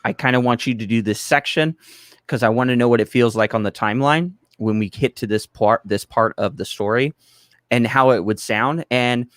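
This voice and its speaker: A man's voice: medium pitch, normal speed, a bit bright, dry recording, clean studio sound. A man explaining things in casual chat, emphatic and rambling, often breaking off to restart his point. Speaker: project collaborator, guest.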